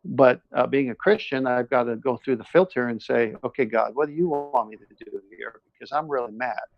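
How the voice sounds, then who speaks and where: Gravelly-voiced earnest man, muffled audio. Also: medium pitch, fairly quick. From born in Iran, moved to the United States at seven. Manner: talkative, earnest, emphatic, repeats phrases. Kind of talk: casual conversation, personal testimony and opinion.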